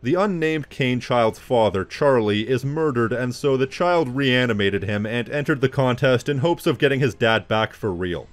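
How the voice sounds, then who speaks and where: A man's voice: mid-range voice, animated, moderate pace, few pauses. A man delivering scripted video commentary as an essayist, with snide, wry asides.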